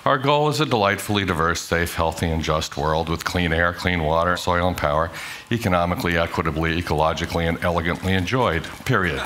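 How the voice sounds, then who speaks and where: A middle-aged man, an architect, giving a prepared talk with emphatic, measured delivery and rhetorical questions. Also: deep voice, a bit bright. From grew up in Hong Kong. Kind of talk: prepared conference talk played in class.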